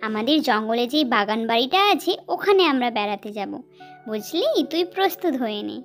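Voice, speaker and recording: A woman's voice: on the high side, animated, a little fast, soft background music. A woman voicing a caring mother in a children's cartoon story, with a coaxing, homely way of talking. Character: caring mother of a schoolgirl, planning family outings.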